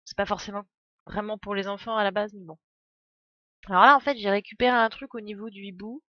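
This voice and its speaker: A woman's voice: medium pitch, steady tone, brisk, no room sound, lo-fi sound. A woman giving casual live game commentary as a streamer, chatty and playful, wandering into asides.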